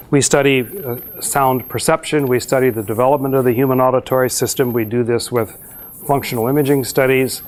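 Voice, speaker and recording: Measured middle-aged male professor presenting. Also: mid-range voice, slight background noise. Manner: measured, explanatory, frequent filler pauses. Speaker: university professor presenting a research exchange program. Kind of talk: slide presentation to an audience.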